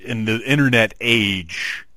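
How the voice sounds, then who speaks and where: A fairly low-voiced man hosts the interview in an easygoing way, often restarting his sentences as he feels out a question. His voice is close and dry, with little room sound. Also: takes it slowly, sharp tone, dry recording. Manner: casual, restarts, searching for words. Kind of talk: conversational interview.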